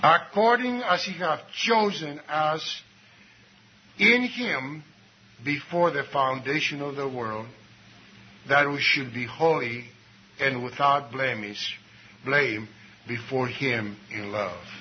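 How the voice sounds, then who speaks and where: A man preaching a sermon, swinging between low asides and raised exclamations and reading scripture slowly and deliberately. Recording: dull lo-fi recording with faint noise.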